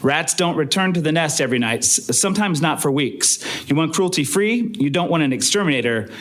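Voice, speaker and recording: High-pitched, blunt exterminator man, played by an actor. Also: lively intonation, fairly quick, fairly bright tone. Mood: brisk, scornful. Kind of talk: live stage radio play acting. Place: on stage in a live theatre performance.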